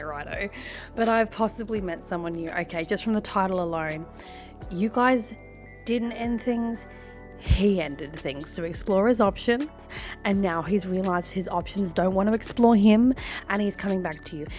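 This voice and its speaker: Casting: young woman host, croaky from a cough, sassy reaction commentary, faint music behind. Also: medium pitch, darkish tone. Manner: sassy, laughs often, mutters asides. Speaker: host reading and reacting to online posts.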